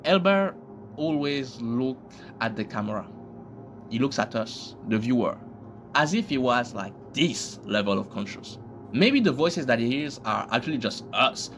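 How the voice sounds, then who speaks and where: Male reaction host, very animated pitch, joking slangy commentary, loud music bed. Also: unhurried. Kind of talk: casual scripted commentary with slang.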